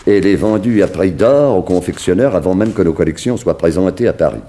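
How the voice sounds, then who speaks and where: An actor playing a flamboyant, self-important Parisian couturier, courteous and theatrical, in a 1960s French radio drama. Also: male voice, low voice, animated, brisk, dark tone. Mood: indignant, brisk. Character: flamboyant celebrated Parisian couturier, grandiose and eccentric man.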